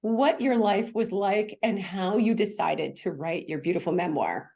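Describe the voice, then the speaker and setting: A woman's voice: mid-range voice, measured pace, vocal fry, a little mellow. A middle-aged woman hosting an interview, chatty and enthusiastic, laughing at her own slips. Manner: enthusiastic, chatty, self-deprecating. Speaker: podcast host. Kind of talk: casual interview chat.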